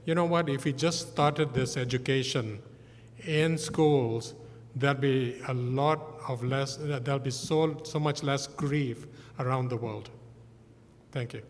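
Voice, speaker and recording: A man's voice: mid-range voice, steady tone, slow. Panelist, male, from Malaysia and Singapore, earnest delivery, long church reverb. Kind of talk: panel discussion. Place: church sanctuary.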